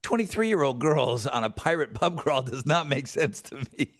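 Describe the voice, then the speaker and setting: A man's voice: medium pitch, sing-song, normal speed. A man co-hosting a podcast, teasing his partner with joking banter. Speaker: podcast co-host. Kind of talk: casual podcast chat.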